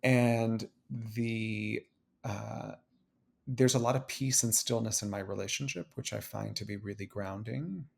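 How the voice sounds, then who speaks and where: A man who is a guest on an interview show, talking casually, animated, restarting and repeating phrases. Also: lowish voice, measured pace, creaky, husky. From Cuban-American. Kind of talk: casual conversation. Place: New York City.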